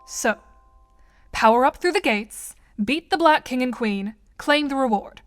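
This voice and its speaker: A woman's voice: high-pitched, animated, a little slow, soft background music. A woman playing an inquisitive, skeptical teenage girl in an audio drama, with a precise, dry, questioning manner. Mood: probing, skeptical.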